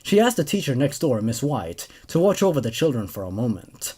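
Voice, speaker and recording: Young man with a very animated delivery. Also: mid-range voice, a little fast, slightly rough. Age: 20s. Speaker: host narrator and reviewer. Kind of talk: reading horror stories aloud with commentary. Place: small dark room indoors. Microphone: desk microphone on a boom arm.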